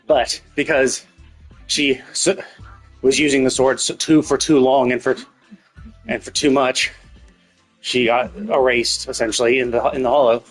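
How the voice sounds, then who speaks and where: A man's voice: medium pitch, fairly even intonation, unhurried, pauses often, faint music behind, rough recording. A man giving casual, speculative game commentary as a live streamer, thinking aloud and often rephrasing himself.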